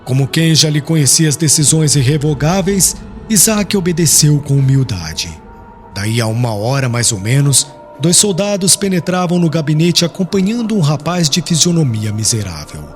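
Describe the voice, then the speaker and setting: Male audiobook narrator, fairly high voice, expressive swings, formal and solemn storytelling with music underneath. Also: normal speed. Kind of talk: dramatic scripted narration of religious historical novel. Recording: music clearly behind the voice.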